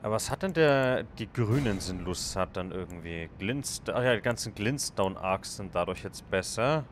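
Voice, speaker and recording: A man's voice: on the low side, lively intonation, normal speed, slight background noise. A man casually commenting on his game as he plays, thinking aloud and chatting with viewers. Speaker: let's play gamer.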